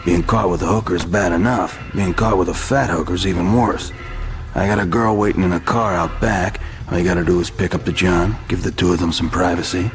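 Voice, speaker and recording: A man plays a sleazy, wheedling club hustler in a game cutscene. His low voice delivers slick, crude patter over loud music. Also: steady tone, a little fast, close to an everyday voice. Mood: sly, persuasive. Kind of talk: video game cutscene acting.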